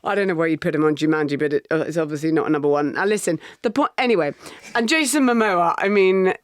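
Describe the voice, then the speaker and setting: A woman co-hosting a podcast in casual chat. She talks in animated bursts, full of restarts and quick asides. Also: on the low side, no echo, studio quality. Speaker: podcast co-host.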